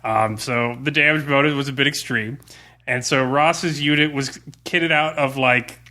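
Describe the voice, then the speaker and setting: A man's voice: medium pitch, fairly even intonation, a little slow, sharp tone. A man co-hosting a gaming podcast, telling a wry, casual anecdote as a game master among friends.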